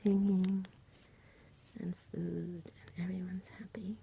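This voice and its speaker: A woman reading a bedtime story in a soft, whispery ASMR style, gentle and unhurried, close to the mic. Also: fairly low pitch, flat delivery, noisy background. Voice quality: whispery, breathy. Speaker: storybook reader.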